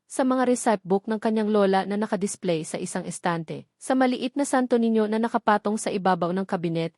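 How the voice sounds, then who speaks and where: A woman's voice: mid-range voice, darkish tone, no echo, clean studio sound. A woman reading scripted dramatic story narration.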